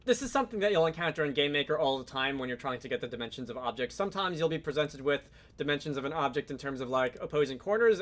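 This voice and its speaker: A man presenting a software tutorial in a conversational, explanatory way, with casual asides. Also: medium pitch. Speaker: tutorial host, game programming instructor.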